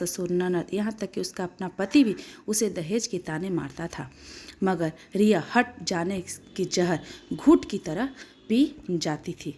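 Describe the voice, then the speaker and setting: A woman narrating a dramatic moral story in an expressive, emotive way, now and then stumbling over her words. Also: medium pitch.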